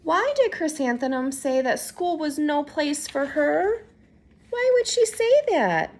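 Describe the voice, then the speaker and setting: A woman reads a picture book aloud as a teacher would for young children. Her voice is high and swings widely in pitch. She is expressive and animated, and she checks in with questions as she goes. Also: slow.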